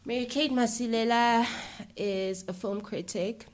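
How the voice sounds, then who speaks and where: A woman's voice: fairly high pitch, a little slow. A woman with a South African accent talking casually and conversationally as an interview guest. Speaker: interview guest, filmmaker and YouTuber.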